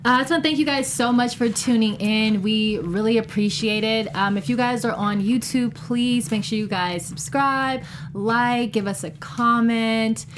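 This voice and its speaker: A woman's voice: on the high side, moderate pace, few pauses, a little hiss or hum. A woman talking casually and informally as a panelist on a fan recap show. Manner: informal, frequent "like". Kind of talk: casual chat.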